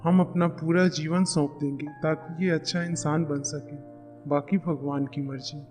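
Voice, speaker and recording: A man voicing a principled, gentle father of three in a cartoon moral story, speaking earnestly over music. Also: a little high, fairly even intonation, measured pace, close to an everyday voice. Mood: earnest, devout. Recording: music behind the voice.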